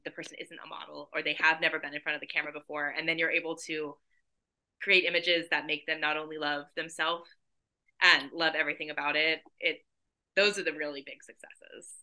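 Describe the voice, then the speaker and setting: Bright-voiced woman on a video call. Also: low-pitched, poor audio. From San Diego, California. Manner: chatty, enthusiastic, animated hand gestures. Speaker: fashion photographer, guest. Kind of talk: casual interview on a video call. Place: living room at home.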